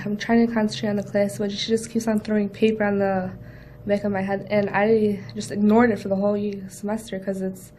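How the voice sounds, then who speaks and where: A young woman playing an aggrieved teenage schoolgirl explains herself insistently in an amateur dramatization. Her intonation stays flat, over a rumbling background noise. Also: natural voice. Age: young adult. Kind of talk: amateur acted role-play dramatization.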